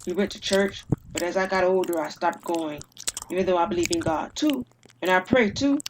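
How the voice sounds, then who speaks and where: A woman's voice: fairly low pitch, moderate pace, background noise, natural voice. A woman voicing a reserved, skeptical husband in an audio drama, plain and matter-of-fact. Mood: reserved, doubtful.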